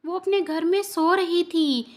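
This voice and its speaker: A woman voicing an excited female bird friend in a cartoon story, calling out urgently with news. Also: very high voice, measured pace.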